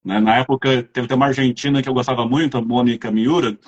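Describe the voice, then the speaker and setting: A man's voice: medium pitch, fairly even intonation, fast, lo-fi sound. A man with a Brazilian accent, chatting casually as an interviewer and telling rambling anecdotes. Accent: Brazilian Portuguese.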